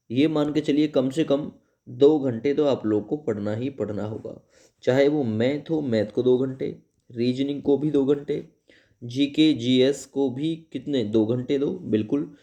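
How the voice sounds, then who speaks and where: A man's voice: mid-range voice, a little slow, dark tone. A man explaining informally to students as a coach, repeating phrases for emphasis and often adding check-in tags. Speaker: exam coaching YouTuber.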